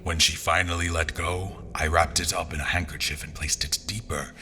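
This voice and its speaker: An actor playing a brooding, suspicious adventurer, narrating his story in a measured, dramatic way. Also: low-pitched, fairly even intonation, noisy background, lightly acted. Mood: tense, suspicious. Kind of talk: scripted first-person story narration.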